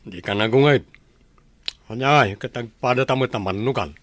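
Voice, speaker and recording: An irritable young Filipino gambler and husband, played by a man in a village radio drama. He bickers dismissively in a bright voice, recorded very dry. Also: medium pitch, normal speed, no echo, natural voice. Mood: irritated. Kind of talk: Ilocano radio drama acting.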